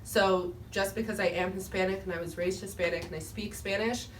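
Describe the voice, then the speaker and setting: A woman's voice: mid-range voice, fairly even intonation, a little fast. A teenage girl talks in a casual, chatty way, full of little fillers, while speaking on a school panel. The mic sits at a distance, and a light hiss runs underneath. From United States. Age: teens. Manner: chatty, many likes. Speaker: student panelist. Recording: distant mic, faint noise.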